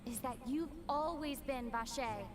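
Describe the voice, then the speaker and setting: A woman's voice: high-pitched, a little slow, distant mic, noisy background. A woman voicing a poised, theatrical young woman in a dramatic video game scene. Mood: composed, pointed.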